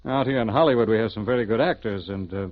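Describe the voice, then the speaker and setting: An actor playing a stern, measured man of authority in a 1950s radio drama, part solemn guide and part police lieutenant. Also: lowish voice, lightly acted. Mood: firm, matter-of-fact. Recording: muffled, noisy vintage radio recording.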